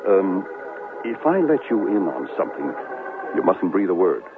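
An actor playing a smooth, boastful, flirtatious New York television reporter in a 1970s radio drama, with a suave, condescending manner. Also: male voice, sits low, very animated, normal speed. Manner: suave, self-satisfied, condescending. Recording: tinny vintage radio broadcast, music.

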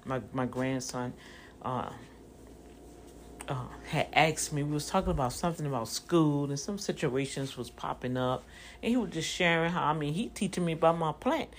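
A woman's voice: sits low, moderate pace, slight background noise. A woman in her fifties giving a casual motivational talk, conversational and preachy, speaking straight to her listeners. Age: 50s.

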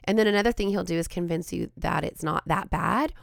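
Woman, podcast host, low-pitched, gravelly and hoarse from a cold, dry close sound, earnest teaching. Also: fairly quick, dry recording. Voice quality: raspy. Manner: earnest, reflective, frequent ums and restarts.

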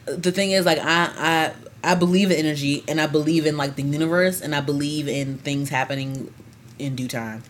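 A young woman with a lowish voice chats candidly, full of slang and quick restarts. She sounds like a co-host in a relaxed talk, with a faint background hiss under her voice. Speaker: podcast co-host. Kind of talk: casual chat.

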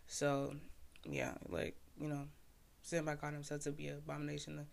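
A man's voice: a little high, completely flat delivery, normal speed, creaky. A young man from Haiti, a podcast host and poet, casually explaining his own poem in laid-back slang full of "like" fillers.

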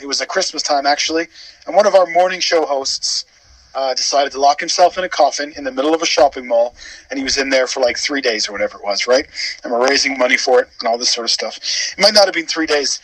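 A man's voice: a little high, fast talker, fairly bright tone, dry recording, low-quality recording. A man, from Canada and Wales, chatting casually and telling stories with asides as a radio guest. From born in Wales, grew up in Canada. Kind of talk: casual chat and storytelling.

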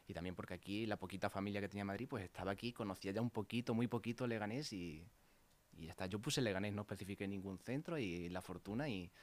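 A man in his thirties speaks with an Extremaduran accent in a fairly low voice. He talks fast and earnestly, often repeating words as he searches for them. He is answering interview questions about his work as a head of studies. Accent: Extremaduran Spanish. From Spain, Extremadura. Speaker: head of studies at a secondary school.

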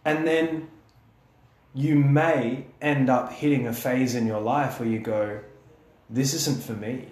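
A man's voice: medium pitch, fairly even intonation, takes it slowly, a little mellow. A young man hosting a podcast, speaking earnestly and encouragingly in long, building sentences. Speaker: podcast host. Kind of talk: reflective podcast conversation. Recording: roomy echo.

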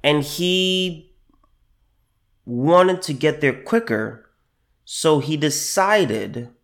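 A man hosting a solo commentary podcast, a little under the weather with allergies, who halts and repeats phrases with many fillers and slows down to stress his points. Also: a little high, expressive. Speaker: podcast host.

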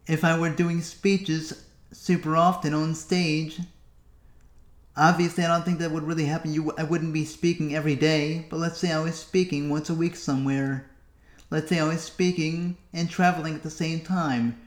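A man hosting a solo podcast, wandering through his thoughts and pausing often. His voice sits a little high for a man, and it echoes off the walls of the room he is recording in. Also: little pitch movement. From United States. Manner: musing, self-talking, with long pauses. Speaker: podcast host. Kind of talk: solo reflective podcast monologue.

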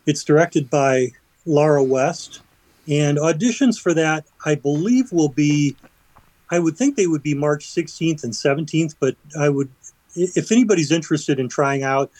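A man with a slightly high voice talks up events for a community theater group on a radio show. His delivery is upbeat but hesitant, recorded dry and close. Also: darkish tone, no echo. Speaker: theater group vice president. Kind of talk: radio interview guest.

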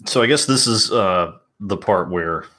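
A man's voice: sits low, expressive, unhurried, pushed and bright. A middle-aged man with a Southern American accent co-hosting a podcast, laid-back and wry, swearing freely in casual chat. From Southern United States. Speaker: podcast co-host.

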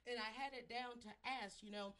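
A woman hosting a casual live-stream interview, talking in an animated, playful, exclamatory way and addressing her listeners. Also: fairly high pitch, a little fast, smooth. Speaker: host.

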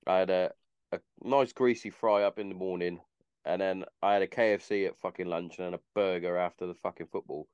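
A man's voice: low-pitched, fairly even intonation, brisk, a little mellow, smooth, dry recording, lo-fi sound. A man in his mid-thirties in casual chat, blunt and sardonic, swearing freely. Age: 30s.

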